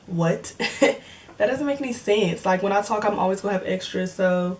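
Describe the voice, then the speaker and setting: A woman's voice: mid-range voice, moderate pace, a little mellow, recorded from a distance. A woman of twenty in casual chat, answering viewer comments in a joking, slangy way and laughing often. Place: home filming setup with cameras and lights.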